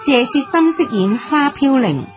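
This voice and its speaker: A woman's voice: sits high, lively intonation, faint music behind. A woman with a Hong Kong accent reading scripted radio drama credits as a formal announcer. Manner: formal, measured.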